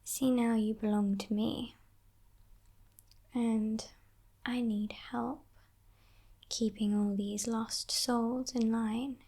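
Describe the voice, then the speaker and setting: A woman acts out a sly demon kidnapper, a female captor in an ASMR roleplay. Her voice is a breathy, mostly whispered murmur with crackling fry, somewhat high for a woman. She takes her time, teasing and condescending, every coaxing line carrying quiet menace. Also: level tone. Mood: coaxing, smug. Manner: slow, teasing, condescending, softly threatening.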